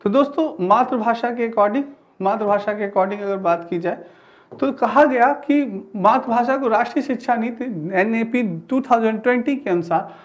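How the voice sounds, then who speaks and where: A man's voice: very high-pitched, moderate pace, lo-fi sound. A man in his thirties giving an explanatory classroom lecture, repeating phrases and asking rhetorical questions. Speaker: teacher giving an exam-prep class. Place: indoor studio with digital teaching board.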